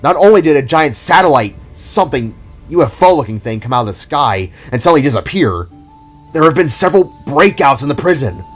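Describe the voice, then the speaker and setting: A man doing a frantic, high-pitched young cop. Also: sing-song, a little fast, soft background music. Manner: flustered, stammering.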